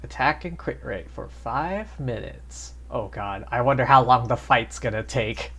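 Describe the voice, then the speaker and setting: A man's voice: medium pitch, lively intonation, a little slow, fairly bright tone. A man giving casual live gameplay commentary as a streamer, thinking aloud as he goes. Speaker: game streamer. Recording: game music behind the voice.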